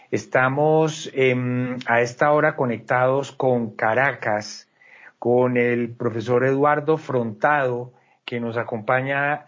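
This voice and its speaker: A male host at a mid pitch interviews a guest and often searches for words with "eh" and repeated small words. The recording sounds somewhat dull and lo-fi. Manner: hesitant, with frequent fillers.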